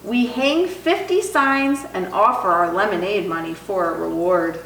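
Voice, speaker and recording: A woman reading a children's picture book aloud in an expressive, animated storytelling style. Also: mid-range voice, measured pace, vocal fry. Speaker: storytime reader. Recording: roomy echo, distant mic, faint noise.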